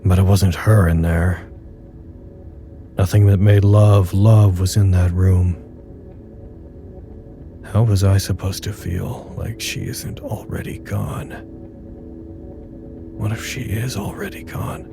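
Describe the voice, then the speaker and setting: An actor in an audio drama monologue playing a grieving, weary facility staffer, subdued, halting and confessional. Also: male voice, low voice, over music. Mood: somber, grieving. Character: grieving, weary male facility staffer broadcasting a personal log.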